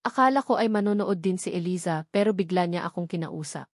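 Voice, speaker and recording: A woman's voice: lowish voice, a little fast, dry recording. Filipino woman narrating, dry close sound. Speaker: audiobook narrator. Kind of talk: scripted first-person romance novel narration.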